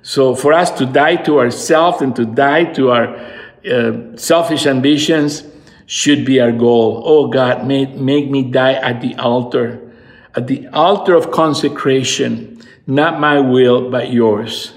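A man's voice: mid-range voice, takes it slowly. A man, giving an earnest devotional sermon as a pastor and rising to fervent emphatic peaks. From Peru. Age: 60s. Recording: echoey room.

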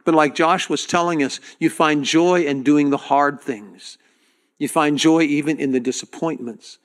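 A man's voice: on the high side, fairly even intonation. Earnest man preaching to his church. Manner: earnest, direct, with halting restarts. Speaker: pastor. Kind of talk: sermon.

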